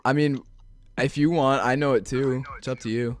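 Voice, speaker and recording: A young man in casual chat with friends, halting and repeating himself as he goes. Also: mid-range voice, fairly even intonation. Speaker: podcast guest or co-host. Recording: background music under voice. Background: music.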